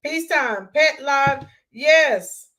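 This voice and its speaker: Hoarse woman live-streaming, higher voice. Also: lively intonation, very slow, a bit bright. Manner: rambling, chatty, greets viewers by name. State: hoarse, losing her voice. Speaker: YouTube channel host. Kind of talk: live-stream chat sign-off.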